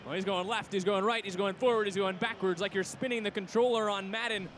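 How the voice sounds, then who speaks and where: A man's voice: sits high, steady tone, quick pace, fairly bright tone. A man giving conversational, explanatory football analysis between plays as a colour commentator. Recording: noisy stadium background.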